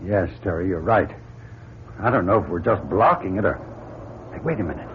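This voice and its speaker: A man playing a practical, brisk space crew captain, anxious but taking charge, in an old-time radio drama. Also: low-pitched, animated. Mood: tense, commanding. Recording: muffled radio recording, clear background noise.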